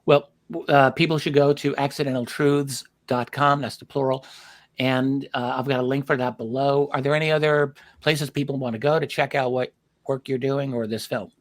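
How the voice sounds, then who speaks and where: A man hosting a conversational interview, with halting, measured phrasing. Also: mid-range voice, fairly even intonation. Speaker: talk show host, interviewer.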